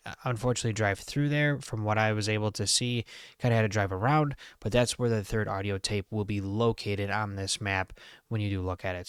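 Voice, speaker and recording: A man's voice: fairly low pitch, a bit bright, smooth, no room sound, clean studio sound. A man giving a casual game walkthrough, explaining things informally as the host of a guide.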